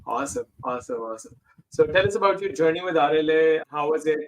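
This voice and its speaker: A man's voice: a little high, fast talker, low-quality recording. A man in his thirties hosting a podcast interview, talking in a hesitant way, with many "uh"s and restarts. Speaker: podcast host.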